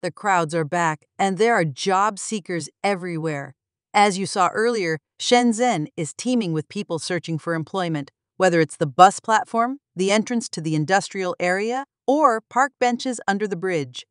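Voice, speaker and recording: A woman delivering scripted documentary narration in an even, explanatory newsreader manner, recorded close as a voice-over. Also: mid-range voice, moderate pace, smooth, dry recording. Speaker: narrator of a news channel.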